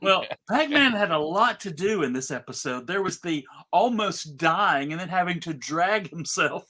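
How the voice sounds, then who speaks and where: Female panelist, fifties, bright forceful tone, informal joking chat. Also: fairly low pitch, expressive, normal speed, a little hiss or hum. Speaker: convention panelist.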